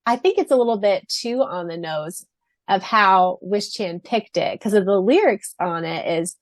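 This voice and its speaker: A woman's voice: mid-range voice, moderate pace, no room sound, studio quality. A woman co-hosting a podcast, chatting casually in a gushing, giggly way. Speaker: podcast co-host. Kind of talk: casual chat about a fan fiction.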